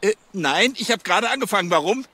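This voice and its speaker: A man's voice: high voice, lively intonation, fast talker, pushed and bright, slightly rough, heavily acted. An actor playing a fussy, self-important policeman in a radio drama, stammering and tagging questions onto sentences. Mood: flustered, excited. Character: fussy, blustering male police officer, overeager and self-important.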